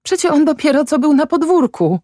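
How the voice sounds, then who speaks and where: An agitated young peasant son voiced by a woman, scared and angry. The high voice bursts into rustic exclamations, as in a dramatic storybook reading, recorded dry. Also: sing-song, fairly quick, mellow, no room sound. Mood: angry disbelief.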